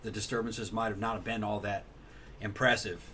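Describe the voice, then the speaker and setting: A man's voice: on the low side, steady tone, moderate pace, bright, forceful tone. A man in casual discussion as a co-host, circling back to repeat and rephrase his points. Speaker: show co-host. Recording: faint background noise.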